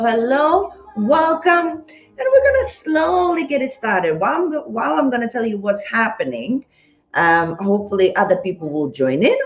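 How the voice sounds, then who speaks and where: A woman dance teacher chats brightly to her live-stream viewers, greeting them one by one in a somewhat high voice. Her voice is a little worn because she is losing it. The phone recording sounds roomy and slightly dull. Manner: bubbly, greets viewers by name. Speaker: dance instructor.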